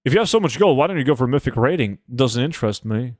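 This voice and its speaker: A man talks casually on a gaming livestream. He is blunt and swears offhandedly, in a mid-pitched voice. The sound is close and dry, with very little room in it. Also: lively intonation, fast, no echo. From Norway. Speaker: game livestreamer.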